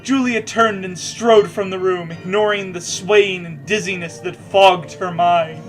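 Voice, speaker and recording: A man's voice: high voice, steady tone, a little slow. A man reading a story aloud with mocking, jokey asides, stammering and stumbling over the text. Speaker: co-host reading fan fiction aloud. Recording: music playing behind the voice. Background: video game music.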